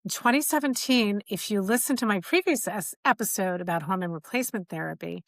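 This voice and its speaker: A woman's voice: medium pitch, a bit bright, dry recording. A middle-aged woman hosting a podcast, candidly telling a personal story in casual conversation and acting out quoted dialogue. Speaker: podcast host.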